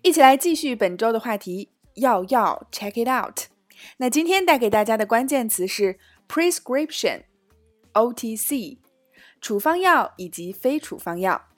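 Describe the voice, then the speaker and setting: A woman who is a native Mandarin speaker presents a language lesson in an upbeat, scripted manner. Her voice sits a little high. Soft music plays faintly behind her. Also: lively intonation, unhurried. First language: Mandarin Chinese. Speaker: host and language teacher.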